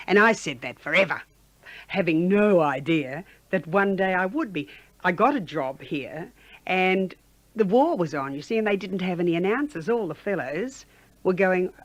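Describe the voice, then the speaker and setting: A woman's voice: lowish voice, animated, normal speed, dry recording, highs cut off. A woman, a former radio announcer, chattily recalling her early career in an interview. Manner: rambling, conversational recollection.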